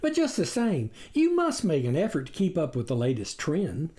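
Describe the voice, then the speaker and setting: A sly storybook wolf played by a narrator posing as a reformed soul. The delivery is persuasive and indignant, with a preachy streak. The pitch sits in a middle register and swings widely. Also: wildly swooping pitch, moderate pace, dark tone. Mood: insistent. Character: sly, smooth-talking wolf-like stranger posing as vegan.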